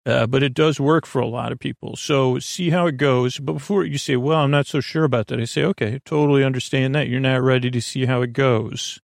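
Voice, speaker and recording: A man with a creaky voice hosting a bedtime podcast in a meandering, halting monologue that keeps interrupting and repeating itself. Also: medium pitch, fairly even intonation, no echo, clean studio sound. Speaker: podcast host.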